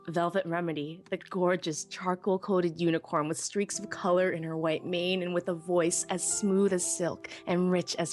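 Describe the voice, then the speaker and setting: A woman playing a shy, self-deprecating young pony technician who narrates her own story in an expressive, wistful, wry way. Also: fairly low pitch, natural voice. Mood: smitten, wistful. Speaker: first-person narrator. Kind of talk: dramatic live audiobook reading.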